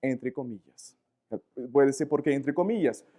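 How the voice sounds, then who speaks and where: A man whose first language is Portuguese, speaking Spanish with a Portuguese accent as he gives a live lecture, animated, often searching for words and asking rhetorical questions. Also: mid-range voice, moderate pace.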